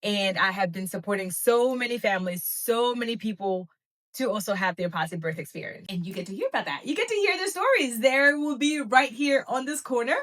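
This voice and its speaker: A woman's voice: on the high side, animated, fairly quick, fairly bright tone. A middle-aged woman from Malawi hosting a podcast as a doula, speaking earnestly and often checking in with "right?". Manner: earnest, repeats phrases, asks "right?". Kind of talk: heartfelt solo podcast talk.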